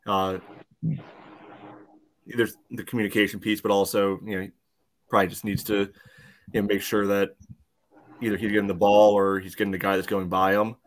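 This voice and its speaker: A man breaking down a soccer match casually as a podcast panelist, in a halting way peppered with "you know". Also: on the low side, level tone, poor audio.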